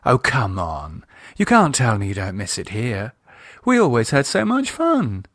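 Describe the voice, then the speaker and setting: A man's voice: medium pitch, wildly swooping pitch, a little slow, fairly bright tone, no echo, clean studio sound, full character voice. A man voicing a confident, breezy English woman, a teasing sister, in an audiobook. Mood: breezy, nostalgic. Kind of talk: audiobook character acting.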